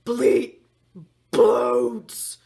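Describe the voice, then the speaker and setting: A woman voicing a wild, hungry tentacled monster from a children's storybook, gurgling and shrieking in a strained, loud voice. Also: a little high, completely flat delivery, very slow, vocal fry, roomy sound, pitched above the performer's own voice, full character voice. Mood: ravenous.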